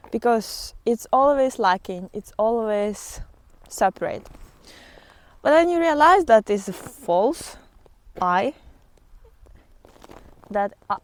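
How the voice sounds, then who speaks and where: A woman giving an informal spiritual talk to followers in a halting, reflective way with drawn-out pauses, as a vlogger out on a walk. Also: a little high, darkish tone, dry recording.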